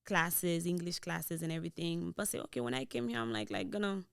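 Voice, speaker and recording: Haitian-accented woman, smooth lowish voice. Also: a little fast, no echo, studio quality. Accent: Haitian Creole. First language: Haitian Creole. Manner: switches into Creole mid-sentence, relaxed storytelling. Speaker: podcast guest. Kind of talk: casual interview chat. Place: podcast studio indoors. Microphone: boom-mounted studio mic.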